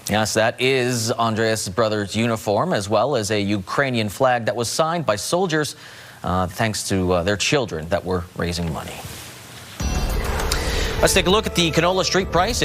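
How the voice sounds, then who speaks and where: Male local news anchor. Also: mid-range voice. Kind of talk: local newscast reading.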